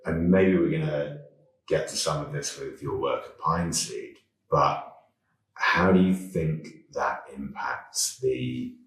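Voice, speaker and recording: A male podcast host with a very deep voice asks probing questions between short acknowledgements. He sounds set back from the mic, and the room echoes around him. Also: takes it slowly, recorded from a distance. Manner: brief backchannels, probing questions. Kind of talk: interviewing, casual chat.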